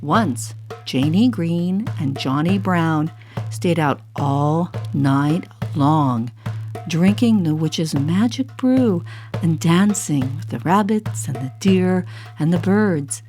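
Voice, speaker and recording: Unhurried woman storyteller over soft music. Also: low voice, lively intonation. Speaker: storyteller and voice artist. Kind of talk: scripted storybook narration for all ages.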